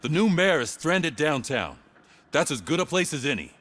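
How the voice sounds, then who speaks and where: An actor playing an authoritative, defiant police captain giving orders in a video game. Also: male voice, fairly high pitch, big pitch swings, moderate pace, a bit bright. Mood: commanding. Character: commanding male police captain, rousing and defiant. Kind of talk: video game cutscene acting.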